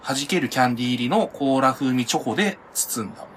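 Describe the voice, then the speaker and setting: A man's voice: medium pitch, expressive, fast talker, fairly bright tone, a little hiss or hum. A man co-hosting a podcast, chatting casually with friends in a chatty, explanatory manner. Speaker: podcast co-host. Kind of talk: casual chat among friends.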